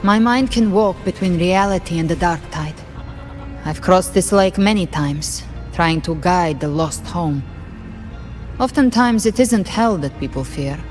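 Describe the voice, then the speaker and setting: A woman playing a solemn, knowing guide of lost souls in a fantasy game, speaking in a calm, measured way. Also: on the low side, faint music behind. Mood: solemn, explanatory. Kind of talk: dramatic fantasy game acting.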